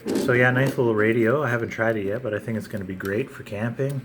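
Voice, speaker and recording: A man hosting a casual, unscripted unboxing vlog, talking in a halting way with frequent pauses. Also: mid-range voice, steady tone. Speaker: video channel host. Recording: clear background noise.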